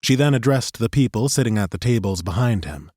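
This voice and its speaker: A male narrator reading a fantasy audiobook in a steady, even storytelling style. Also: medium pitch, brisk, dry recording, clean studio sound.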